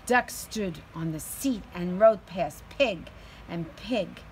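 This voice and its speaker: A woman's voice: lowish voice, animated, slow, darkish tone. A middle-aged woman reading a picture book aloud to children in a storytelling style, recorded outdoors on a patio. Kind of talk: slow read-aloud storytelling. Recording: some background noise, outdoor ambience.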